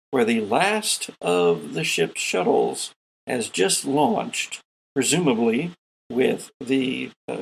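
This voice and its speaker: A man hosting and narrating a tabletop role-playing game as game master, with theatrical, deliberate pauses. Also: medium pitch, vocal fry, a little hiss or hum, poor audio.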